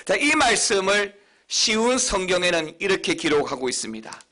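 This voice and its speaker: Middle-aged man, Busan accent, pastor in the pulpit; high, bright voice that swings widely on emphasis. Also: very animated, moderate pace. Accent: Busan Gyeongsang. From Busan, South Korea. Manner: emphatic preaching with sudden high, loud stresses. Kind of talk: sermon.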